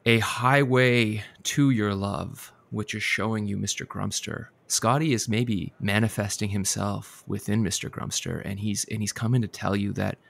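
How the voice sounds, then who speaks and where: A man playing an earnest, mystical advice doctor on a comedy show, with a wistful, sage, deliberate manner. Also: fairly low pitch, steady tone, vocal fry, a bit bright. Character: earnest, mystical, sagely advice doctor, quick to take offence.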